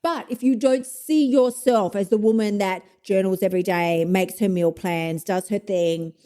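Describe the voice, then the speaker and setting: Fifties woman doing her own podcast, mellow low-key tone, swears a lot, laughs at herself. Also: normal speed, clear, resonant tone. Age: 50s. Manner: blunt, sweary and upbeat, with laughs. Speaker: podcast host, motivation coach. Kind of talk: solo podcast monologue.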